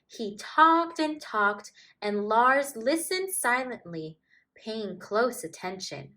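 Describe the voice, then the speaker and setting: Bright-voiced woman reading a children's storybook. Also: fairly high pitch. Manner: expressive, deliberate, emphatic delivery for young children. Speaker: teacher reading a picture book aloud. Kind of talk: animated children's storybook narration.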